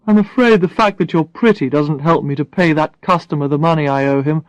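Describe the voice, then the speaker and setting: A woman's voice: low voice, a little fast, no room sound, close to an everyday voice, low-quality recording. A woman reading the lines of a kind but tactless young man in a story, casual and blunt. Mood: teasing.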